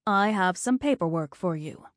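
A woman voicing a warm, motherly hospital receptionist with a calming, soothing manner. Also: on the low side, moderate pace, no room sound, studio quality, lightly acted.

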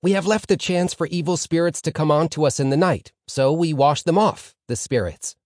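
A man's voice: on the high side, moderate pace, darkish tone, dry recording, clean studio sound, pitched above the performer's own voice, close to an everyday voice. A man voicing an eager, quibbling teenage yeshiva student in an audiobook story, inquisitive and emphatic. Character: eager, quibbling teenage yeshiva schoolboys answering their rabbi.

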